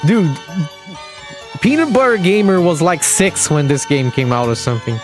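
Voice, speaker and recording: A man's voice: fairly high pitch, sing-song, moderate pace, music in the background. A man giving casual live commentary on a game replay stream, chatting with viewers and laughing often.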